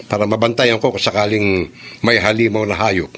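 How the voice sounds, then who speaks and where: A man's voice: lowish voice, steady tone, moderate pace, fairly bright tone. An actor with a Filipino accent playing a defiant, protective grown son in a horror radio drama, declaiming his actions aloud. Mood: firm, reassuring. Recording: very noisy background ambience.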